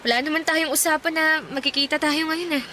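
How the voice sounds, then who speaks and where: Bright high-voiced, torn young Filipina. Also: female voice, fairly even intonation, fairly quick, slight background noise. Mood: defensive, evasive. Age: young adult. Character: torn, evasive engaged young office woman. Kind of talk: Filipino radio drama acting.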